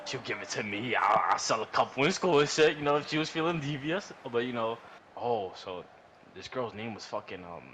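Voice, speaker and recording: A man tells a story among friends in loose, slangy, swear-heavy talk. His voice sits at an average pitch, and he circles back and repeats words as he goes. There is some noise in the recording. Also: fairly quick. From New York. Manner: rambling, repetitive, slangy, animated retelling. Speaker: podcast storyteller. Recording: noisy room recording.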